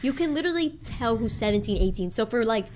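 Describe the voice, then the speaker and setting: A woman's voice: fairly high pitch, animated, fast talker, darkish tone. A woman of nineteen chatting casually, laughing often and filling her talk with "like" and "bro". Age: young adult. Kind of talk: casual chat.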